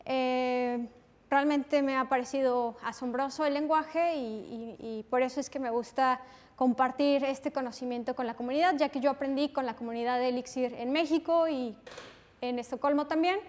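A woman's voice: sits high, steady tone, normal speed, a little mellow. A woman in her thirties from Mexico with a Mexican Spanish accent, introducing a conference talk earnestly with many hesitant fillers. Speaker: conference speaker. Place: lecture room with audience. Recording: reverberant lecture hall.

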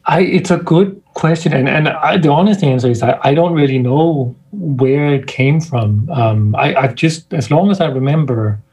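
A man's voice: on the high side, normal speed, hardly any pauses, darkish tone. A man from Denmark, a scientist, talking thoughtfully as a guest on a video-call interview, often restarting his sentences. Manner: thoughtful, searching for words, frequent restarts. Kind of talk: casual interview talk.

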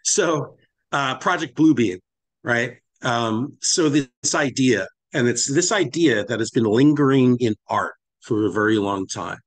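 A man's voice: mid-range voice, expressive, unhurried, rough recording. Reflective man musing on a podcast. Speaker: podcast host.

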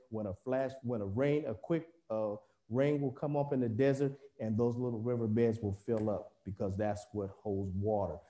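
Halting male preacher over a video call. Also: fairly low pitch, warm tone. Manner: halting, many fillers and restarts.

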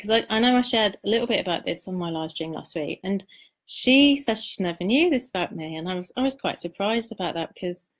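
Middle-aged female spiritual coach, mid-range voice, informal live-stream delivery, introspective and open, somewhat halting. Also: mid-range voice. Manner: reflective, confiding, halting with pauses and repeated words. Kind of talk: informal live-stream talk sharing personal experience.

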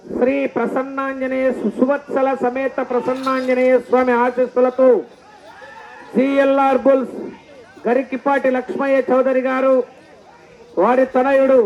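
A male race announcer. He delivers live commentary in a loud, declamatory style, calling out announcements and rallying cries. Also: very high voice, muffled recording. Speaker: announcer at a bull-pulling race.

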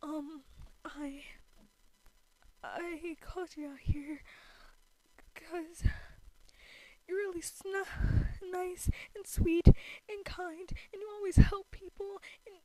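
A woman voicing a shy, sweet, anxious teenage boy hero in a fan-made audio roleplay, soft and stammering as they address the listener. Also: very high-pitched, steady tone, slow pace, long pauses, low-quality recording. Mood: tearful, flustered. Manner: stammering, hesitant, earnest.

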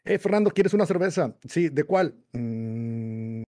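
A man's voice: on the high side, lively intonation, measured pace, dark tone. Mexican man telling a rambling story. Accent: Mexican Spanish. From Monterrey, Mexico. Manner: rambling, with many "eh" and "este" fillers. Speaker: guest homebrewer. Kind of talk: storytelling interview answer. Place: home room. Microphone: desk mic on video call.

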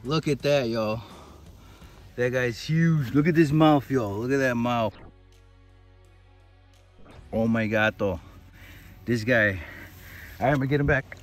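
American male vlogger, informal and excitable, addressing viewers directly; handheld phone outdoors, music underneath. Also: mid-range voice, takes it slowly, pauses often. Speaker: fishing vlogger. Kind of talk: casual excited vlog commentary. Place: outdoors by a lake and park.

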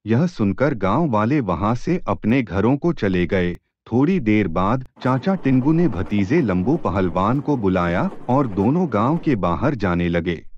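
Low-voiced man narrating with lively intonation. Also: measured pace, hardly any pauses, no echo, muffled recording. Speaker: storyteller. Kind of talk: scripted children's story narration.

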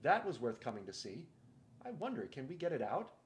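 A man's voice: medium pitch, big pitch swings, a little fast, close to an everyday voice. A man playing a proud, imperious young king. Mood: awed. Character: proud young king, grand and hot-tempered.

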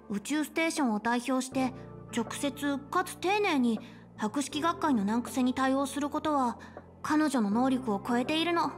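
A woman voicing a young, polite and caring space station chief in a Japanese game, speaking in a measured, articulate way. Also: high-pitched, natural voice. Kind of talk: Japanese game voice acting, explanatory dialogue. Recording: game dialogue over background music.

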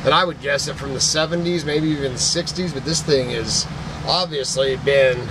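A man's voice: a little high, normal speed, background noise. A man in his forties from Idaho, rambling and sentimental, showing thrift finds to a desk webcam in a cluttered indoor shop. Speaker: vlogger, antique picker. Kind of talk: casual chat to camera.

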